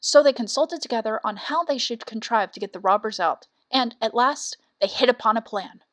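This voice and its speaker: A woman's voice: a little high, moderate pace, a bit bright. A woman reading a fairy tale as a storyteller, in scripted narration.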